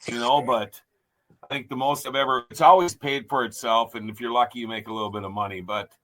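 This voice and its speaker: A man chats on a casual talk radio show, explaining things in a rambling way and throwing out crude jabs. His voice is bright and forceful, and faint noise sits under the recording. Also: mid-range voice, fairly even intonation, a little fast.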